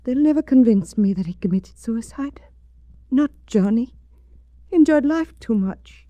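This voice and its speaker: Very mellow, weary young widow. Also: female voice, fairly high pitch, animated, measured pace, no room sound. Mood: insistent. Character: weary young widowed mother, bitter but stubbornly loyal. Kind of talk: 1940s radio acting.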